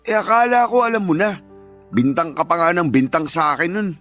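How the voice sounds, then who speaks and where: A man's voice: a little high, expressive, brisk, a bit bright, soft background music. An actor playing a selfish, whining and defensive young man cheating on his partner in a radio drama. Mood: defensive, blunt. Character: lazy, selfish young cheating husband, whiny and shameless. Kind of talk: Filipino radio drama acting.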